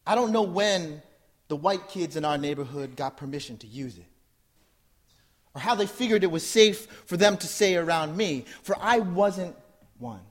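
A man from New Jersey who works as a poet. He gives an intense, measured reading of his poems and then talks casually with a live audience, laughing as he goes. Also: fairly high pitch, lively intonation. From New Jersey, USA. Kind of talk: poetry reading and audience talk. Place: live event in front of an audience.

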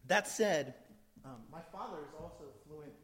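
A man's voice: on the high side, lively intonation, normal speed. Middle-aged man from New Jersey reciting poetry. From New Jersey, USA. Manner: conversational, asks the audience questions, then recites with rising intensity. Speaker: spoken word poet. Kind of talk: live poetry reading with talk to audience.

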